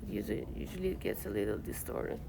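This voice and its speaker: A woman's voice: fairly low pitch, very animated, fast talker, gravelly, music in the background. A woman from Iran with an Iranian accent, giving a chemistry lecture in a university classroom in a loose, conversational way full of "you know" and "like". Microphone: iPad recording from a distance. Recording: distant mic, noisy classroom.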